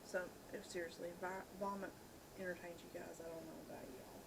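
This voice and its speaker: A woman's voice: on the low side, flat delivery, brisk, creaky, heavy background noise. A woman in casual, joking and crude banter, chatting as co-host of an amateur video. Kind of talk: casual chat.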